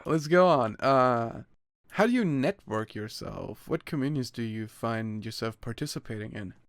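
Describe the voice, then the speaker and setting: A man hosting a casual podcast interview, asking questions in an informal, halting way. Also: medium pitch, animated, dry recording, studio quality.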